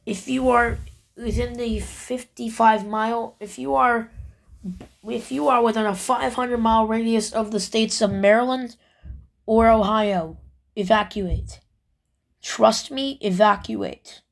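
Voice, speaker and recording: A woman role-playing an emergency broadcast announcer, reading alerts in a halting, stumbling way. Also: a little high, steady tone, creaky. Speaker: amateur emergency broadcast announcer in a hobby scenario.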